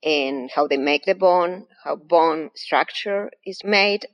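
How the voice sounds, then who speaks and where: A woman's voice: deep voice, vocal fry, poor audio. A woman from Argentina with an Argentine Spanish accent, a professor explaining her research patiently and methodically as an interview guest.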